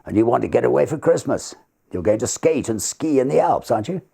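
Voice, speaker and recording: A man acts out an older barrister from a storybook, composed and kindly. The voice is husky and full of gravel, with an avuncular, measured manner and lively intonation. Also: mid-range voice, voice pushed up.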